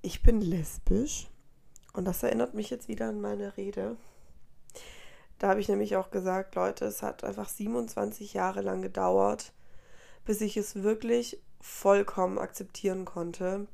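A woman in her late twenties, originally from Colombia, speaking in a soft, rambling and tired way as a podcast host, often correcting herself mid-sentence. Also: mid-range voice, fairly even intonation, measured pace, gravelly. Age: 20s. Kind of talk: casual personal monologue.

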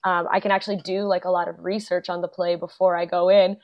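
A young adult woman, a guest actor and playwright, chatting casually in an interview, full of fillers and quick laughs. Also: lowish voice, little pitch movement. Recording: dull lo-fi podcast audio.

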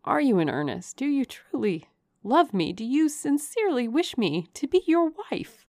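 An earnest young woman in love acted by a woman reader speaks with guarded, questioning devotion. Her voice has a creaky edge and sits in a dry, close audiobook recording. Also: high-pitched, big pitch swings, moderate pace, dark tone, no room sound, pitched above the performer's own voice. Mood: earnest, doubting. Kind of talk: dramatic audiobook character reading.

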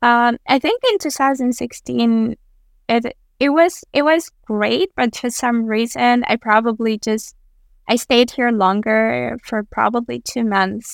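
Young female podcast guest, English not her first language, higher-pitched, smooth tone, conversational. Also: dry recording, studio quality. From Central Asia. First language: not English, which is a third language. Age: young adult. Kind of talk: casual conversational interview.

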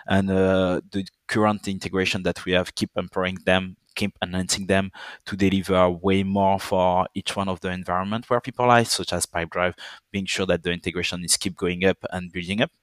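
A young man with a French accent, a guest on a business podcast, speaking in deliberate, halting second-language phrasing. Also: low voice, level tone, dry recording. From French Caribbean island. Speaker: guest, partner account manager.

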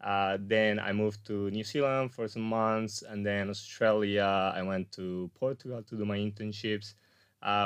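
A young man talks in a lowish voice, pausing often to search for words. His sound is close and dry, with no room around it. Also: clear, resonant tone, dry recording. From Colombia. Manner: searching, with many fillers and uh's. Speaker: graduate student guest. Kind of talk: casual interview answers.

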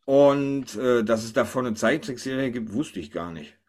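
A man's voice: medium pitch, lively intonation, moderate pace, a little mellow. A man hosting a casual panel chat, thinking aloud and often tossing questions to the others. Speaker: podcast host.

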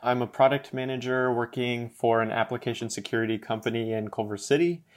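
A man in his twenties from Los Angeles talks in a halting, casual way, full of fillers. He laughs easily as he chats. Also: mid-range voice, fairly even intonation. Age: 20s. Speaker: podcast guest. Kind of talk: casual conversation.

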